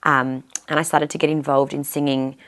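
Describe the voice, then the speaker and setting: A young woman in her twenties, a guest musician with a low voice, chatting casually and filling pauses with "um". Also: little pitch movement, a bit bright. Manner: chatty, fills pauses with "um", laughs easily. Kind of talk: casual interview chat.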